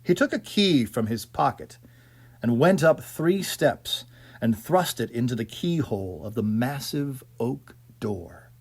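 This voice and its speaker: A man reading a ghost story as scripted dramatic narration, in a theatrical, measured storytelling manner. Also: mid-range voice, lively intonation, breathy edge. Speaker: audiobook narrator.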